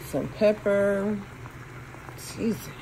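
A woman's voice: medium pitch, very slow, noisy background. A woman vlogger, chatting casually to herself and her viewers while cooking in her home kitchen.

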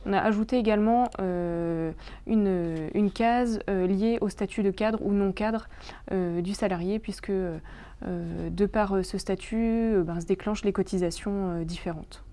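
A young adult woman, explaining slides in a webinar in a hesitant, halting way. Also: mid-range voice, warm tone. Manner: hesitant, halting delivery with frequent pauses. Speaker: presenter explaining labour agreement changes. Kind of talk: webinar slide walkthrough, semi-improvised explanation.

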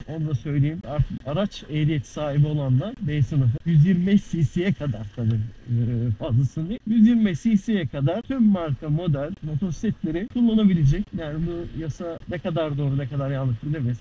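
A man chatting casually as he rides a scooter through city traffic, explaining the bike as he goes. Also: fairly high pitch. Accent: Istanbul Turkish. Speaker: motovlogger. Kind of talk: casual riding commentary. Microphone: helmet-mounted action camera. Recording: muffled action-camera audio with faint traffic noise.

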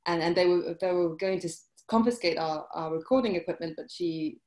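A woman in her forties with a British accent, answering interview questions over a video call, halting with many restarts. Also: fairly low pitch, a little mellow. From UK and France. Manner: hesitant, many restarts and fillers. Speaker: guest, journalist. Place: indoor room, video call. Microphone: laptop webcam mic.

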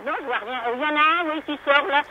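A woman's voice: high voice, fast, bright, forceful tone. A woman in a casual phone conversation, hesitant and puzzled, over a noisy, dull telephone line. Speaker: prank call victim.

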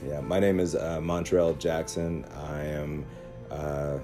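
A man around forty from Seattle, answering interview questions with many pauses and restarts. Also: extremely low pitch, steady tone, over music. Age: late 30s to mid 40s. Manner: hesitant, many fillers and restarts. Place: indoor storage room with swim gear.

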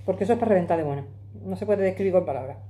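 A woman's voice: lowish voice, very fast, warm tone, lo-fi sound, a little hiss or hum. A woman in her forties vlogging from her home kitchen, chatting to a phone camera while she eats. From Madrid, Spain. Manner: chatty, emphatic, talks with her hands. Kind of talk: casual chat to camera while eating. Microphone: phone camera on the table.

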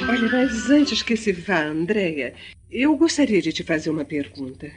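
An actress playing a warm, inquisitive rural woman in a Brazilian radio drama. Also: fairly low pitch, very animated, moderate pace, loud music behind the voice.

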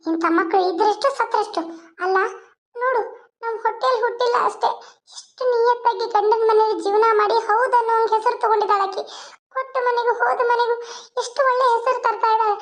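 An enraged mother with a North Karnataka accent, as a woman puts her on, harsh and bitter as she rails at her daughter. The voice sits very high and runs fast. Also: fairly even intonation. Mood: furious. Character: furious middle-aged mother.

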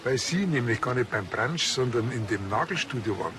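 A man's voice: mid-range voice, animated, fairly quick, a crowd in the background, lightly acted. An actor playing a naive, grumbling village policeman with a Bavarian accent in a television comedy drama. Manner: colloquial, grumbling.

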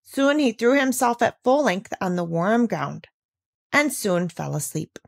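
A woman reading a classic children's novel aloud, with measured, expressive delivery. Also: medium pitch, gravelly, no room sound, studio quality.

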